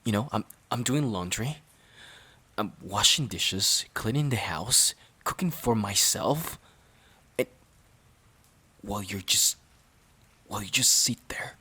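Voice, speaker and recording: A man playing a resentful, overworked boyfriend in an emotional audio roleplay, halting and sighing as he repeats himself. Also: fairly low pitch, lively intonation, pushed and bright, husky, full character voice. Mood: weary resentment. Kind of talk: emotional audio roleplay, intimate dramatic monologue.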